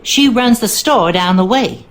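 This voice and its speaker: Actress as a sassy, swooping Southern servant. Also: female voice, medium pitch, lively intonation, measured pace, natural voice. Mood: guarded. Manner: sassy, curt, guarded. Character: sly, haughty Southern voodoo-believing servant woman. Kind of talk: video game character acting.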